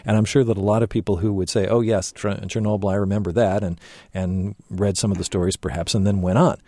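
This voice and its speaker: A man hosting a radio interview, asking questions in a measured, conversational way. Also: on the low side, fairly even intonation, warm tone, no echo, clean studio sound. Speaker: radio host.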